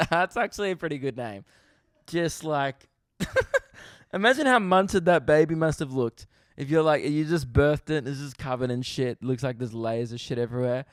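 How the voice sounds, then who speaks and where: Australian male comedy podcast host, close mic, smooth clear voice, loose and sweary, cracks himself up. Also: fairly high pitch, moderate pace, dry recording. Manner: riffing, swears freely, laughs at his own jokes. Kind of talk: casual solo podcast chat.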